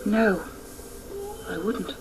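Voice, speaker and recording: A woman's voice: mid-range voice, very slow, music in the background, rough recording. A woman playing a preoccupied, patient but firm mother in a radio drama, giving brief offhand answers.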